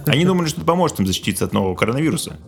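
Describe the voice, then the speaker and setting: A young man co-hosting a casual news podcast, telling things in an informal, slangy and animated way. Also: a little high, very fast, gravelly, faint music behind. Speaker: podcast co-host. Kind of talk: casual chat about news.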